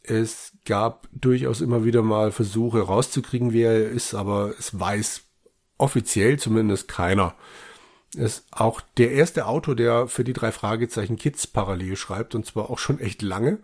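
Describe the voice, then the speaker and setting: A man's voice: fairly low pitch, moderate pace, a little mellow. A man co-hosting a podcast, talking casually with an enthusiastic, emphatic manner. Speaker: podcast co-host. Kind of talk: casual conversational podcast chat.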